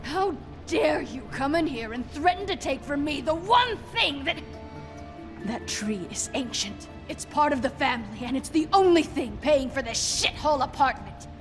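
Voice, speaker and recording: A bitter, defiant old witch in a video game drama. Her voice sits fairly high and she argues with indignant, dramatic force over prominent music. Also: lively intonation, moderate pace. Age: older. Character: bitter, defiant old witch woman selling magic glamours.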